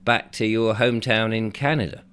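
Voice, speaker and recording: A man hosting an interview, croaky from a sore throat, chatting with loose rambling asides. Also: on the low side, steady tone, moderate pace, fairly bright tone. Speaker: host.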